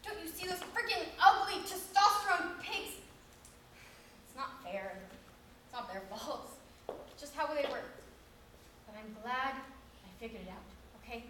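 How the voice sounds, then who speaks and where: A woman's voice: very high voice, very animated, fairly bright tone, a little hiss or hum, heavily acted. A woman playing a flustered young woman rambling. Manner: rambling, halting, laughing at herself. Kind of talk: comic stage monologue. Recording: very echoey room.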